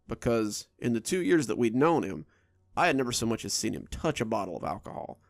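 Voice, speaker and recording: A man narrates a horror story in a steady, low-key delivery. His voice has a rough, gravelly edge. The sound is dry and close, with no room echo.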